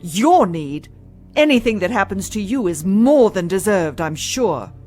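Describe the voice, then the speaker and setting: A woman's voice: mid-range voice, very animated, unhurried, mellow, soft background music. An actress playing a principled, bluntly defiant female doctor in an audio drama. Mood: indignant.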